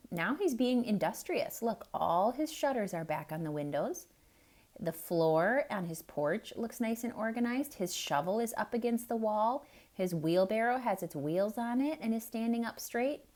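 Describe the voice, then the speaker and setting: Slow, expressive woman reading to children. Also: medium pitch. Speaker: children's storyteller. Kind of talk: read-aloud to children.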